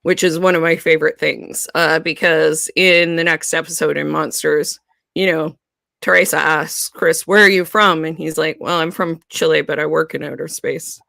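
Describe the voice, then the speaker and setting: A woman co-hosting a podcast, chatting casually about a TV series, animated and laughing often. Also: low-pitched, moderate pace, creaky, no echo, clean studio sound. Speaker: podcast co-host. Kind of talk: casual fan discussion.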